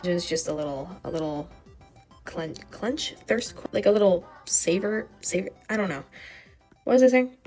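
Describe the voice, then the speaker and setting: A young woman with a scratchy, hoarse voice rambling casually as she draws, swearing and muttering to herself. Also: lowish voice, normal speed, a little mellow, soft background music. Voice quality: scratchy, vocal fry. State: voice giving out, hoarse. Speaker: art vlogger. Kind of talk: rambling casual commentary while drawing.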